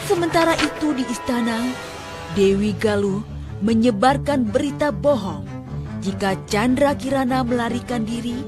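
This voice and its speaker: A young woman tells a children's folk tale. Her voice is fairly high, and music plays behind the scripted narration. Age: young adult. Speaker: storyteller, student reading a folk tale.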